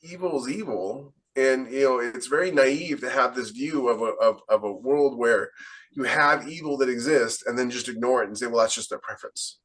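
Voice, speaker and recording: A man's voice: mid-range voice, normal speed. A man hosting a casual podcast discussion, opinionated and acting out the exchanges he retells. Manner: animated retelling, acts out quoted exchanges, opinionated. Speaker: podcast host and fiction author. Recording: distant from the microphone.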